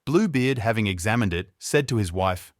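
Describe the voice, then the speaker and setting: Animated male storyteller, dry sound. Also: fairly low pitch, normal speed, dry recording. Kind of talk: scripted fairy tale narration.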